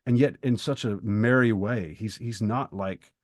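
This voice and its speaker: A man co-hosting a podcast in casual literary discussion, speaking in a reflective, halting way with emphatic pauses. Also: lowish voice, dry recording, clean studio sound. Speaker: podcast co-host.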